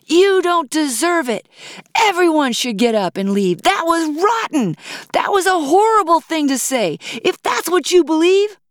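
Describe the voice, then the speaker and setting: A woman voicing an angry female heckler who yells in a confrontational way. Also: very high voice, very animated, a little slow, no room sound, clean studio sound, voice pushed up, exaggerated voice. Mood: outraged. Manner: yelling, confrontational.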